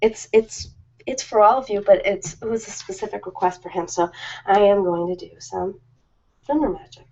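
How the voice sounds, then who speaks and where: A woman's voice: mid-range voice, moderate pace, a little mellow. A woman chatting casually and informally on a live stream, ad-libbing in a rambling, self-deprecating way. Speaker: live-stream host of ASMR and ritual videos. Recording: slightly dull lo-fi live-stream audio.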